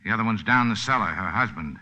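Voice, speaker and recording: A tough, curt boat captain in vintage radio drama. His voice is low and hard-edged, with clipped, deadpan orders. The old broadcast recording is dull. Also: fast talker, heavily acted. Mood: menacing, curt. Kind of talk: 1940s radio drama acting.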